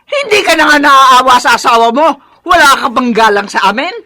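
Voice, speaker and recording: A woman's voice: sits high, lively intonation, fairly quick, sharp tone, no room sound, studio quality. An actress playing a fiery old mother-in-law in a Filipino radio drama, with a Filipino accent. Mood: outraged. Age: older.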